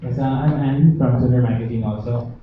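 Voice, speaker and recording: A man's voice: medium pitch, steady tone, brisk, slight background noise. Hesitant male reporter, thin echoey sound. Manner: hesitant, many fillers. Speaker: magazine reporter. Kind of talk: asking a question at a press conference. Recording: echoey hall, phone-like.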